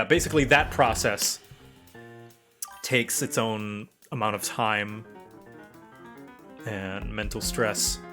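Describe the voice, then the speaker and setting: A man with a slight stutter chatting casually on a livestream, explaining his creative process as an animator in a halting, rambling way. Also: lowish voice. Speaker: streamer and animator. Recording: music playing behind.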